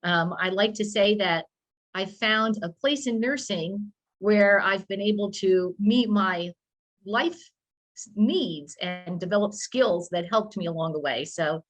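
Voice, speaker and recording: A woman's voice: mid-range voice, unhurried. Plainspoken woman nearing retirement, video call. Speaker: wellness coordinator. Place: small office.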